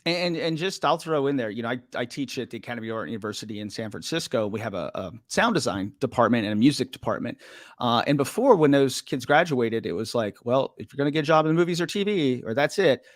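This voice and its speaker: A man hosting a podcast in a relaxed, hesitant way, with many uhs and jokey asides. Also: mid-range voice, fast, dry recording, clean studio sound. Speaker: podcast host. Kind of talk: casual podcast conversation.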